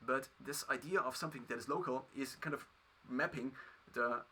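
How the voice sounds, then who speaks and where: Bright-voiced German-accented man musing aloud. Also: medium pitch, slight background noise. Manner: halting, many restarts and fillers. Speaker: video creator. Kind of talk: reflective solo monologue.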